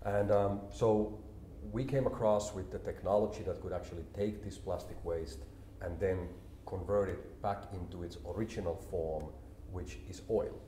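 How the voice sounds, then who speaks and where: A man, a company CEO explaining his work calmly and in a measured way in an interview. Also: low-pitched, slight background noise. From Finland. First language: Finnish. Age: 40s. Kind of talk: business interview. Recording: roomy echo, faint ambience.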